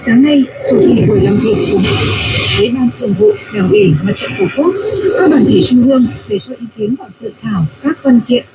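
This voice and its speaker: A woman's voice: a little high, animated, extremely slow, dull audio. A woman reading a formal scripted news bulletin as a radio announcer, heard over shortwave. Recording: very noisy shortwave radio reception.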